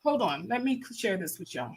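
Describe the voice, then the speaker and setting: A woman's voice: medium pitch, very animated, normal speed, very noisy. An American woman hosting a livestream with casual, impassioned commentary, stressing points by repeating her phrases. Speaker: livestream host.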